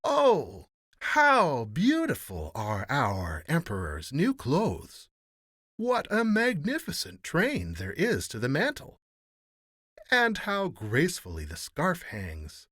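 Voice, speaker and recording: A man acts out fawning courtiers and townsfolk from a fairy tale. The voice is high and swooping, gushing with exaggerated admiration. Exclamations are stretched out slowly for effect. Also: huge pitch swings, fairly bright tone, breathy edge, no echo, studio quality, heavily acted. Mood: gushing awe.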